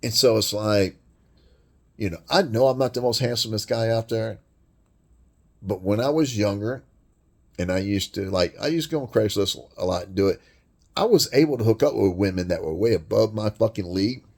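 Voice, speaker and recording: A man with a deep voice delivering a blunt, crude, rambling monologue rant full of swearing. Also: lively intonation, moderate pace, vocal fry. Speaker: vlogger.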